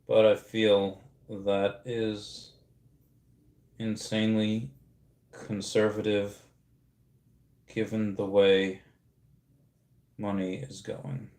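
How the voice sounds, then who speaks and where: Man in his thirties, streamer host, rambling casual delivery, slightly low voice, echoey room. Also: completely flat delivery, drawn-out words, pauses often, darkish tone. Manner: rambling, many restarts, laughs at comments. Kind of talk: casual livestream chat reading comments.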